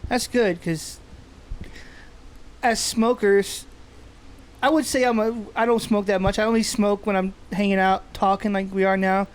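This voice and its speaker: Casual co-host, male, relaxed rambling delivery with occasional smoker's cough. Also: high-pitched, unhurried, long pauses, smooth. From Texas. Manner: laid-back, swearing, rambling with restarts, coughing while smoking. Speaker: podcast co-host. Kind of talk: casual chat. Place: indoors, seated smoking.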